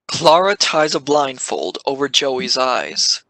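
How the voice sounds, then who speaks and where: A man's voice: medium pitch, sing-song, takes it slowly, vocal fry, sharp tone, dry recording, poor audio. A man reading scripted narration for an audio drama.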